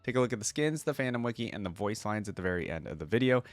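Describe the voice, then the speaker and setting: A man's voice: on the low side, animated, racing, no room sound, soft background music. A man hosting a reaction video, talking informally as he comments and reads lore aloud.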